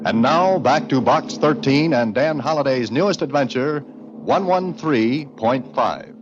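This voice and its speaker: A man's voice: medium pitch, a little slow, over music, lightly acted. A man announcing a 1940s radio mystery programme in a dramatic style. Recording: dull old 1940s radio recording.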